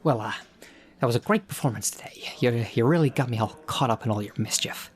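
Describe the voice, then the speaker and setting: A man's voice: medium pitch, fairly quick, a little hiss or hum, lightly acted. A man playing an awkward studio manager in an audio roleplay, stammering tactfully as he tries to placate. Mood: awkward, placating.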